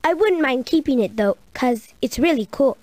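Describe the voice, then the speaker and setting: A woman's voice: sits high, lively intonation, moderate pace, a little mellow, dry recording. A woman voicing a sheepish, defensive, childishly apologetic villager in a children's game. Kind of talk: children's game cartoonish voice acting.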